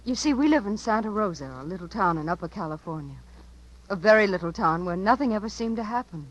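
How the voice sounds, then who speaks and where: A woman's voice: medium pitch, animated, fast talker, natural voice. An actress playing an earnest, restless small-town girl in a 1950s radio drama. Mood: wistful, intimate. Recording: faintly noisy 1950s radio broadcast.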